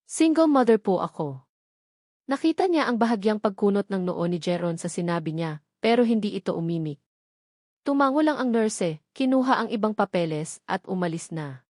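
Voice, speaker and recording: Female Filipino storyteller for dramatic romance audiobook, mid-pitched, dry studio-like sound. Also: expressive, fairly quick, darkish tone, no echo.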